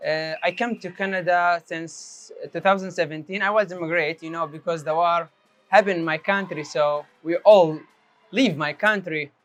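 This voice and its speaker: A man's voice: on the high side, steady tone, moderate pace, faint music behind. An earnest man from Iraq, speaking simple as he tells his story. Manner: simple non-native English, earnest. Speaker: interviewee. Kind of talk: personal testimony.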